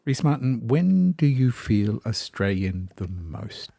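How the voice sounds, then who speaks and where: A male podcast host reading a measured, announcer-style outro. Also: mid-range voice, very animated, gravelly, mellow, no echo. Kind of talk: scripted presenter outro.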